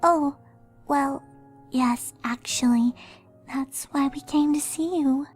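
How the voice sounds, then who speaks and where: A woman voicing a timid, apologetic young pony mare in a cartoon fan fiction reading, soft, breathy and hesitant. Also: high-pitched, takes it slowly, soft background music.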